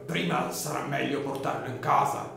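A man playing a humble peasant gatekeeper in a radio drama, deferential, slow and plain-spoken. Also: medium pitch, fairly even intonation, vocal fry, fairly bright tone. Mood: concerned. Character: humble peasant gatekeeper, bearer of grim news. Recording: very echoey room, music underneath.

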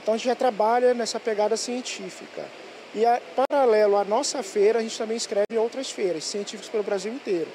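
A man's voice: high-pitched, fairly even intonation, fast talker, warm tone, a little hiss or hum, poor audio. A man in his thirties, a teacher, talking proudly in an interview in a school workshop into a handheld mic. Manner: proud and explanatory. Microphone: handheld reporter mic.

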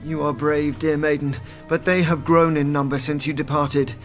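A man's voice: fairly high pitch, level tone, normal speed, soft background music, close to an everyday voice. A man playing a cautious, courteous medieval French garrison captain in an audio drama, formal and careful.

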